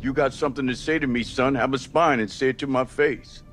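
A man playing a stern, world-weary middle-aged football coach in a dramatic game scene, blunt and gruff in manner. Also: mid-range voice, fairly even intonation, fairly quick, a bit bright, soft background music, close to an everyday voice. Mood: confrontational. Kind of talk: naturalistic dramatic game acting.

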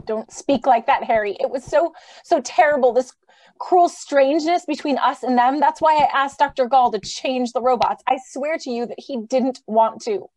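Radio play: woman as young idealist, slightly high voice, imploring, theatrical, closely dry recording. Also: moderate pace, a little mellow, dry recording, lightly acted, low-quality recording. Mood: pleading. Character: earnest, pleading idealistic young wife. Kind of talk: old-time radio drama acting. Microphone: video call.

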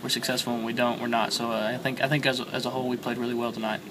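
A young man, a college quarterback, answering reporters after a game, halting with many fillers and repeated words, recorded on handheld mics and phones held close. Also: medium pitch, little pitch movement, noisy background. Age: young adult. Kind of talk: postgame media scrum. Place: crowded locker-room interview area, indoors. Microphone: several handheld mics and phones held close. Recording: busy crowd chatter behind.

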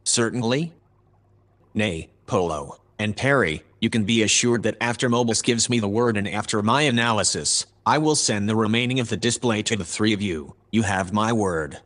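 A man playing a composed, formal artificial assistant in a sci-fi audio drama, reporting in a measured, matter-of-fact way. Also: on the low side, a bit bright, natural voice. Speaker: voice actor.

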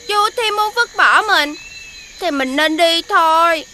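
Very high-pitched crafty orphan boy. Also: lively intonation, takes it slowly, a little hiss or hum, full character voice. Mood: gloating, then resolved. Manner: wheedling, crafty, dramatic. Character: cunning orphan village boy, lying trickster, playing pitiful. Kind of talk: animated fairy-tale cartoon acting. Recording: loud music behind the voice. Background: music.

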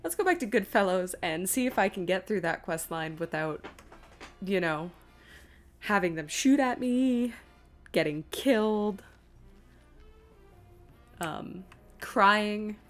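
A woman gives casual live gameplay commentary in a mid-range voice. She rambles, thinks out loud and pokes fun at herself, with game music faintly behind her. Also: medium pitch, expressive, slow, pauses often. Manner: self-deprecating, rambling, thinking aloud. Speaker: game streamer.

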